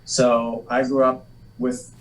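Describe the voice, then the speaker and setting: A man, Polish by upbringing, tells long, meandering stories with dry jokes at his own expense. His voice sits at an average pitch. The sound is roomy and echoey, and the mic is some distance from his mouth. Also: completely flat delivery, slow. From Massachusetts, USA. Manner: rambling, self-deprecating joker. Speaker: guest, actor. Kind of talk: casual interview storytelling.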